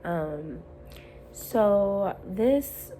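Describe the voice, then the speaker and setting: A woman at home, hoarse while her voice comes back, giving an earnest, rambling motivational talk to camera with frequent ums and restarts. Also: mid-range voice, lively intonation, drawn-out words, dark tone, a little hiss or hum, rough recording. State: hoarse, recovering from lost voice. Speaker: self-help vlogger.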